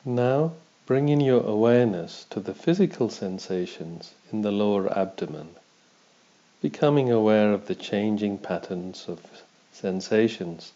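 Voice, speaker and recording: A man guiding a body scan meditation as a teacher, in a calm, measured, instructional manner, on a dull, muffled recording. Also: on the low side.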